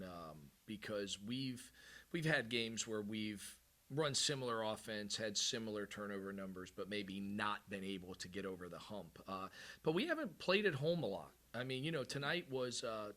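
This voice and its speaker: Man, head coach at a press conference, forceful bright tone, lowish voice, candid and rambling. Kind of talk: postgame press conference answers.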